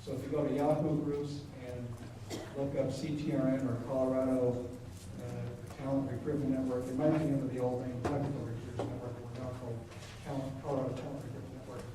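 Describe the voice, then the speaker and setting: A man hosting a professional meeting, introducing the next speaker with halting, filler-heavy speech. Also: mid-range voice, level tone. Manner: hesitant, many uh fillers. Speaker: event organizer and host. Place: meeting room with audience. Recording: echoey room from far off with noise.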